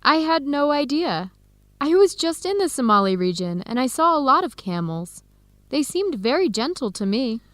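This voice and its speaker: A friendly young American woman researcher in a radio drama. Her voice sits fairly high and swings freely in pitch, with an American accent, recorded dry and close. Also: normal speed, no room sound. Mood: teasing, curious. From United States. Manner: lively, expressive.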